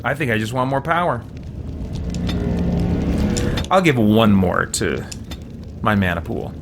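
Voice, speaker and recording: Man thinking aloud with animated pitch. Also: measured pace, gravelly, loud music behind the voice. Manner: thinking aloud, muttering to himself. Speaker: streamer playing a fantasy role-playing game. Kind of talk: casual game commentary.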